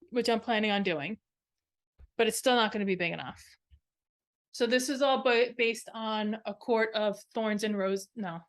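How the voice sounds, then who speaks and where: A woman co-hosting a craft podcast, talking casually in a show-and-tell style and rambling as she thinks aloud. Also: mid-range voice. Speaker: knitting podcast co-host.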